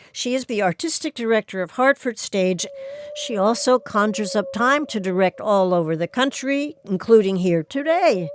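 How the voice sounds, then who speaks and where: A woman's voice: mid-range voice, slight background noise. A woman reading a scripted introduction as the host of an audio play series. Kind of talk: scripted narration.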